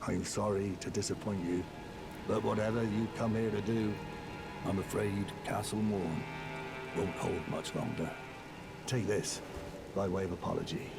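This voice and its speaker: An actor playing a grave, dutiful castle warden and father in a fantasy game, with a gravelly voice. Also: lowish voice, steady tone, moderate pace, pauses often. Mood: weary, bitter. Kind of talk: scripted fantasy game dialogue. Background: music.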